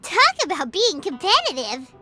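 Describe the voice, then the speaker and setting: A woman voicing a tiny, excitable, cheeky fairy girl companion in a video game, with a squeaky childlike voice. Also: normal speed, full character voice. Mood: teasing. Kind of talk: video game character acting.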